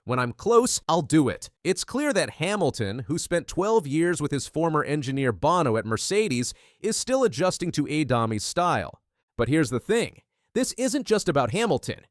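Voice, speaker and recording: Lively higher-pitched male news narrator. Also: no echo, clean studio sound. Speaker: narrator of motorsport news videos.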